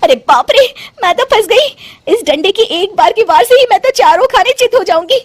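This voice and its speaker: A woman's voice: extremely high pitch, expressive, moderate pace, no echo, voice pushed up. A woman voicing a young wife who acts like an imperious, demanding princess in a cartoon story. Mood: panicked. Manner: haughty, commanding, theatrical. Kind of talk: Hindi cartoon moral story acting.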